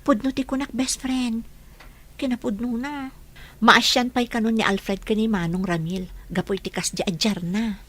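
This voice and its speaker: An actress with a Filipino accent playing a gossipy but sympathetic young friend in a radio drama. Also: female voice, fairly high pitch, fairly even intonation, normal speed, fairly bright tone. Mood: concerned, insistent.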